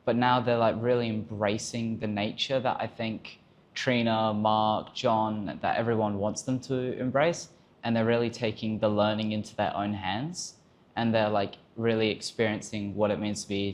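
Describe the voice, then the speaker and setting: A man's voice: on the low side, steady tone, normal speed. A young Australian man, a camp counsellor, chatting reflectively in an interview with many fillers. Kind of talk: casual interview answers.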